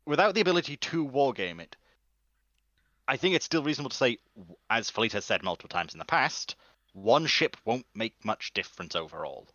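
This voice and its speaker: A man on a discussion panel, making measured, reasoned points in casual debate over a lo-fi voice call. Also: mid-range voice, big pitch swings, bright, forceful tone, dry recording.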